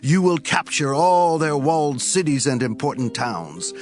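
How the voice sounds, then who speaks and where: A man's voice: a little high, lively intonation, a little slow, soft background music. A man playing a stern, scornful prophet who declaims solemnly in an audio Bible drama. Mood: solemn, proclaiming.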